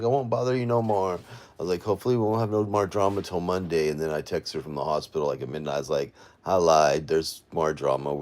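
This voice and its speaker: Man, forties, very deep voice, exhausted, rambling, casual livestream from home. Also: a little mellow. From Florida, USA. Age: 40s. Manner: weary, rambling, venting to viewers. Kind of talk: casual late-night livestream chat. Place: at home, indoors.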